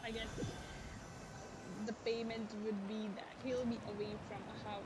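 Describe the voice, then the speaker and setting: A woman's voice: a little high, flat delivery, a little slow, very noisy. A woman livestreamer giving casual reaction commentary on a game, with game music behind her voice.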